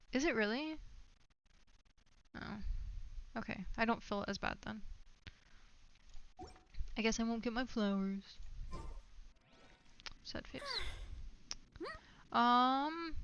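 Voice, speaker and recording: A woman's voice: on the high side, pushed and bright, highs cut off, slight background noise. A woman livestreaming a game with casual chat, rambling and muttering asides to herself and her viewers. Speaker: livestream host. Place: small stuffy room indoors with window closed.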